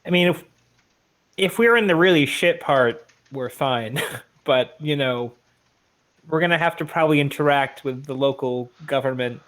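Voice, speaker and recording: A man's voice: a little high, normal speed, fairly bright tone. A man chatting casually as a player in an online tabletop game. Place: online voice call.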